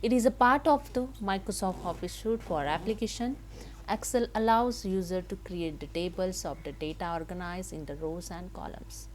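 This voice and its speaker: A woman giving a step-by-step software tutorial in halting, simple non-native English, with noise behind her. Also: fairly low pitch. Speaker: tutorial host. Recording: noticeable background noise.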